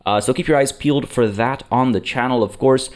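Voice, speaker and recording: A man's voice: medium pitch, a little fast. A man hosting a let's play, chatting in a rambling way with many fillers and restarts, slightly under the weather. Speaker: gaming channel host.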